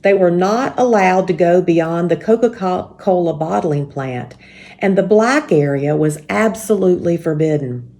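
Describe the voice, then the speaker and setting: Lower-pitched woman reading for children. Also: measured pace, warm tone. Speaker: church story time reader. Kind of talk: reading a children's book aloud with spoken introduction.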